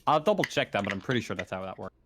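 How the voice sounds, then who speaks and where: A man running a casual online tabletop game as game master, narrating and ruling in a halting, hesitant way. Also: lowish voice, sing-song, fairly bright tone, a little hiss or hum.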